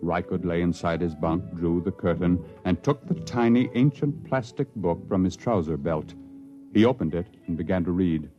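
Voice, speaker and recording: A man narrates a vintage radio drama in a measured, storytelling manner. His voice is low and deep. The sound is dull and lo-fi, with music playing behind him. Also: expressive, warm tone.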